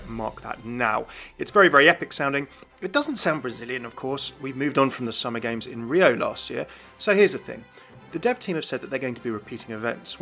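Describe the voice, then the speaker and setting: Bright-voiced man presenting gaming news. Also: medium pitch. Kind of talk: upbeat video game news commentary.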